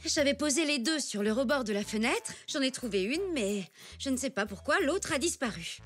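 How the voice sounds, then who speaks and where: A woman voicing a hurried, apologetic zebra mother in a French children's cartoon, polite and flustered. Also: high-pitched, lively intonation, faint music behind.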